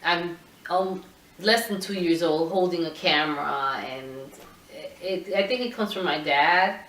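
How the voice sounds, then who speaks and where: Low-voiced middle-aged woman from puerto rico, slow and halting, roomy sound from a distance. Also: fairly bright tone, slight background noise. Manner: thoughtful, halting, many restarts. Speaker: photographer. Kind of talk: interview answers. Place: indoor room with her camera collection.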